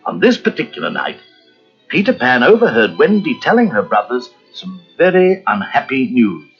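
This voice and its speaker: A man narrating a scripted children's storybook in a dramatic, expressive way. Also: medium pitch, normal speed, slightly rough. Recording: muffled cassette with music behind.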